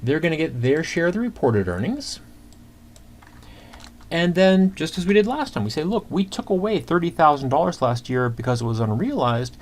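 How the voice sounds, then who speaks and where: A man giving an informal screencast accounting lesson, talking through calculations step by step in a conversational, halting way. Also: a little high. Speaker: accounting instructor. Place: indoors at a computer. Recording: clear background noise, keyboard typing.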